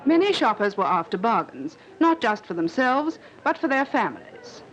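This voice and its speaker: A woman's voice: on the high side, sing-song, moderate pace, a bit bright, a faint crowd. A woman reading scripted narration for a 1950s newsreel. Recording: dull 1950s newsreel with faint noise.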